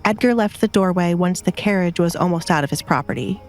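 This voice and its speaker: A woman's voice: lowish voice, brisk, a little mellow, soft background music. A woman reading scripted romance fiction as an audiobook narrator.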